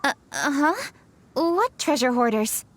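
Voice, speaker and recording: A woman's voice: sits high, big pitch swings, slow, very noisy, full character voice. A woman voicing a diligent, poised young noblewoman who leads others in a video game. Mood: flustered.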